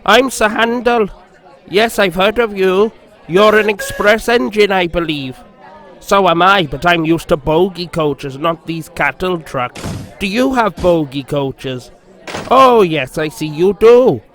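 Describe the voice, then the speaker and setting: A man's voice: sits high, animated, takes it slowly, fairly bright tone, soft background music, voice pushed up, full character voice. A man voicing a grumpy, pompous male steam engine in a storybook reading, sulky and haughty.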